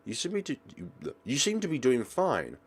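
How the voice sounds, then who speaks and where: A man's voice: mid-range voice, huge pitch swings, normal speed, breathy edge, pitched above the performer's own voice. A man voicing a friendly, caring teenage schoolgirl best friend in a visual novel. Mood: caring, curious.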